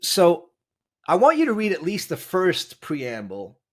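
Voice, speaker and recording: A man's voice: high voice, wildly swooping pitch, measured pace, a bit bright. A man, a podcast guest, explaining legal text in conversation, emphatic and quizzing the host with rhetorical questions.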